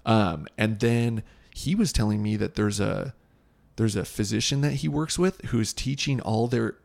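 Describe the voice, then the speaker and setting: Host: man, low gravelly voice, friendly, keeps the chat going with quick "yeah"s. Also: darkish tone. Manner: friendly, backchannels constantly with "yeah". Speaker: podcast host. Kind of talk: casual chat.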